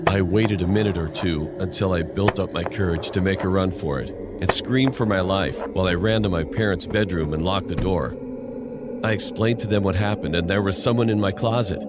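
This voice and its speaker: A man's voice: low voice, very noisy. A man reading a scripted first-person horror story as narration. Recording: music playing loudly behind. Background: loud music.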